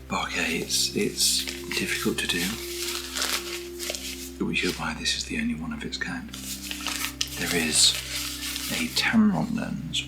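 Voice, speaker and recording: A British man speaking softly in an ASMR unboxing style, hushed and often slipping into a whisper, as the host of the video. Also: low-pitched, rough recording. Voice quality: soft, often dropping to a whisper. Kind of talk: soft-spoken ASMR unboxing.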